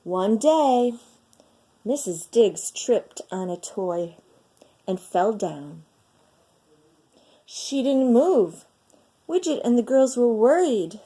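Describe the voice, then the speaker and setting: A woman reads a children's story aloud with a lively, swooping melody. Her voice is mellow and she takes her time, leaning into the phrases with dramatic, deliberate delivery. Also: a little high. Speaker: storyteller reading a picture book aloud. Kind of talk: expressive children's read-aloud.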